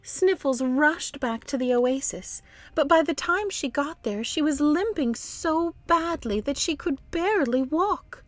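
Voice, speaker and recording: A woman's voice: sits high, expressive, unhurried, faint music behind. A woman hosting a children's read-along, narrating expressively and speaking directly to young listeners. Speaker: storytime host and narrator.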